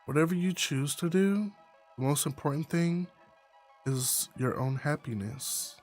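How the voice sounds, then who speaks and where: A performer reading a visual novel voices a calm, devoted young romantic partner in a gentle, measured, reassuring way. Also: medium pitch, soft background music, close to an everyday voice. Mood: thoughtful, comforting.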